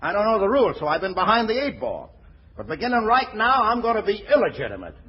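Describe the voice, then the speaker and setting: A man's voice: sits high, huge pitch swings, fast. An actor playing a brash, streetwise middle-aged ex-racketeer running a brewery, with slangy, stammering tough-guy talk in 1940s radio comedy style. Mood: scheming. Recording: dull, noisy 1940s radio broadcast.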